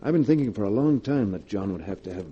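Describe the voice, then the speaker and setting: An actor playing a scheming male lover in a 1940s radio drama, measured and insinuating as he plots. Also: fairly low pitch, lively intonation, breathy edge. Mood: calmly scheming. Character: smooth, scheming male lover coolly planning murder. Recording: dull, hissy 1940s radio recording.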